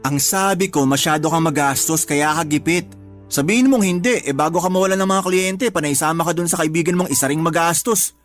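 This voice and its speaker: An actor playing a stingy young boyfriend who scolds and blames, in a Tagalog radio drama. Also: male voice, fairly high pitch, brisk, faint music behind, lightly acted. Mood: scornful, accusing. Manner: accusing, nagging.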